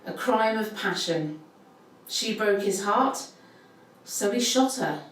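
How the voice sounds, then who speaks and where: A woman's voice: medium pitch, animated, slow. A woman playing a guarded pub worker who tells local ghost tales in hesitant, clipped answers, as in a recorded interview. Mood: uneasy, matter-of-fact. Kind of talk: naturalistic audio drama interview. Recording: echoey room, distant mic, faint noise.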